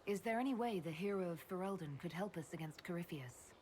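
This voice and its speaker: A woman's voice: fairly low pitch, expressive, brisk, a little mellow, a little hiss or hum, close to an everyday voice. A woman voicing a direct, questioning leader. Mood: curious. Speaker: player character, leader of an order. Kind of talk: video game cutscene dialogue.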